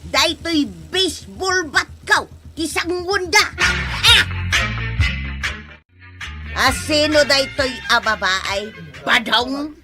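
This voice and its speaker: A woman's voice: high-pitched, very slow, pauses often, over loud music. A woman with a Filipino accent acting an angry, suspicious village wife in an Ilocano barangay comedy drama. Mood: furious. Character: angry suspicious village wife confronting her cheating husband.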